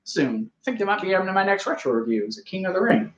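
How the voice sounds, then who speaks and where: A man's voice: high-pitched, sing-song, quick pace, roomy sound, lo-fi sound. A man casually reviewing a wrestling show, rambling and joking as he argues with his co-host. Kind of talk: casual live review chat.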